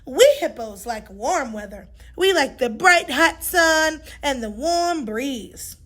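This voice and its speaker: Woman playing an eager, high-voiced little girl hippo. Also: lively intonation, takes it slowly, fairly bright tone, exaggerated voice. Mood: thoughtful. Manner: childlike, exclaiming. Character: young female baby hippo, eager, worried, sweet.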